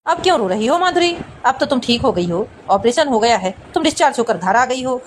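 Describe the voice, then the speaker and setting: Woman doing a husband in cartoon soap, kinda high voice, fed up but earnest, bit of noise. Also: lively intonation, a little fast, natural voice. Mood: supportive. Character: husband in a family drama, exasperated yet supportive. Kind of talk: melodramatic Hindi family cartoon drama.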